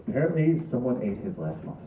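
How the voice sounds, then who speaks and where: Dutiful male production aide , read by a man: medium pitch, everyday delivery, echoey phone-like sound. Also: sing-song, fast, background noise, natural voice. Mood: concerned. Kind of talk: amateur script table read. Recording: tinny, echoey, distant mic.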